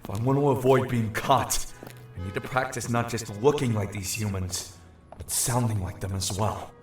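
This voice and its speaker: A man's voice: on the low side, moderate pace, a little mellow, faint music behind, natural voice. Man playing an anxious young shapeshifter, roomy reverb. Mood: worried. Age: young adult. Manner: fretful, muttering to himself. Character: anxious young shapeshifter from another realm, overwhelmed. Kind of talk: inner monologue in audio drama.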